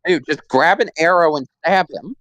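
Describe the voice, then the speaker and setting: A man's voice: a little high, moderate pace, fairly bright tone, dry recording. A man co-hosting a casual movie commentary, trading jokey wisecracks. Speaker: podcast co-host.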